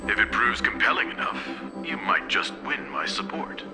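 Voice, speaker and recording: A low voiced old boss man for a game, formal and calculating, loud music under him. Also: very animated, poor audio. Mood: weighty, deliberate. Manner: measured, formal, authoritative. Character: stern, calculating elderly male corporate elder. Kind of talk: dramatic video game voice acting.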